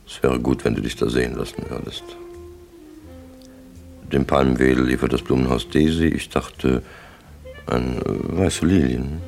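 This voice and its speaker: An actor playing a ruthless, businesslike crime syndicate boss in a 1960s German radio play, imperious and lecturing in clipped, matter-of-fact statements. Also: male voice, a bass voice, gravelly, darkish tone. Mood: cool, commanding.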